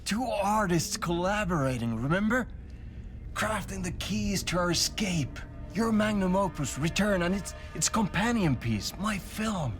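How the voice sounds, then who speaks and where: Slow, pompous, theatrical auteur. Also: male voice, sits high, animated, a bit bright. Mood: coaxing, conspiratorial. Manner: pompous, florid, dramatic pauses and repetition. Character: theatrical, smooth-talking, self-important male filmmaker and poet. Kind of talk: dramatic game acting. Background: faint music.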